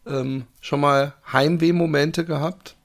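A man's voice: on the high side, steady tone, slow pace. A man hosting a podcast, asking long, winding questions with many restarts and fillers. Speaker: podcast host. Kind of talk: casual podcast interview.

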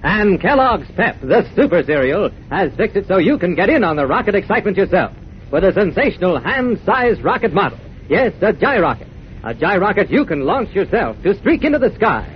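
A man delivering an eager, emphatic sponsor pitch in 1940s radio style. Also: big pitch swings, a little fast, dull audio. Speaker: commercial co-announcer. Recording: rumbly, noisy old radio recording.

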